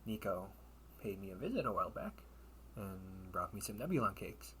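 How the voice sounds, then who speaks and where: A man's voice: low voice, big pitch swings, fairly bright tone, a little hiss or hum, low-quality recording. A man playing a sly, wealthy older brother in a sci-fi audio roleplay, with theatrical, formal, teasing delivery. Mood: playful hospitality. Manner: theatrical, formal wording with teasing chuckles and drawn-out pauses. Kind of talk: solo audio roleplay addressed to the listener.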